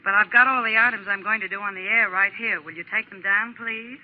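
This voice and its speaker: An American woman playing a brisk, chatty Hollywood gossip columnist in a scripted 1940s radio segment. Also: mid-range voice, steady tone, narrow, tinny audio, natural voice. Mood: chatty, knowing. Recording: band-limited 1940s radio recording.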